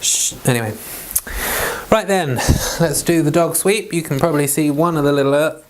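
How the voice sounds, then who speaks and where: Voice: medium pitch, moderate pace, slight background noise. A vlog host with a playful, self-deprecating, informal way of talking, moving between casual chat and reading aloud. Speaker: host of a Bible read-along vlog. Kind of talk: casual rambling chat, then scripture reading.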